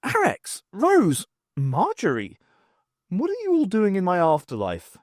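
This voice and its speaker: A wry, groggy woman adventurer, played by a narrator, offhand and halting. Her pitch sits raised and moves a lot, in a dry sound. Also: huge pitch swings, a little mellow, dry recording. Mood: shocked.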